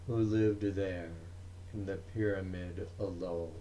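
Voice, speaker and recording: A man's voice: low voice, steady tone, slow pace, mellow, dull audio, slight background noise, voice pushed down. A man voicing a learned, troubled ancient physician in a dramatic poem, speaking in a formal, pensive, emphatic manner. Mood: grave.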